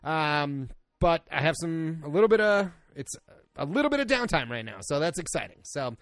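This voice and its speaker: A man's voice: fairly high pitch, sing-song, normal speed, dry recording. A man co-hosting a casual gaming podcast, chatting loosely with a friend, laughing often and swearing casually. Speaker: podcast co-host. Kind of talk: casual chat.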